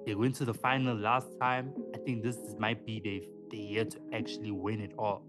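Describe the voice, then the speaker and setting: A man, co-hosting a podcast in casual, slangy, animated chat. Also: on the low side, moderate pace. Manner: animated, slangy, often says "man" and "dog". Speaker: podcast co-host. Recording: music playing underneath.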